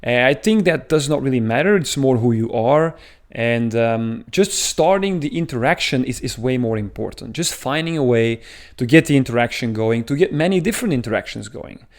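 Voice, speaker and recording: A man's voice: mid-range voice, animated, normal speed. A man from Belgium with a thick Belgian accent, talking earnestly to camera as a dating coach, explaining at length with frequent filler sounds. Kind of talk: talking-head advice video.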